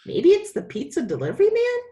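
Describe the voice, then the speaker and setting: A woman's voice: very high-pitched, wildly swooping pitch, a little fast, warm tone, voice pushed up, full character voice. A woman reader voicing a dutiful, anxious schoolboy in a children's story, speaking earnestly. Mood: hopeful.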